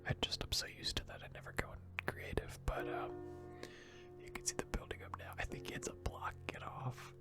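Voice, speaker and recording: A person giving rambling, hesitant gaming commentary in a breathy, unvoiced ASMR whisper close to the microphone. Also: brisk, over loud music. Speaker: gaming video host.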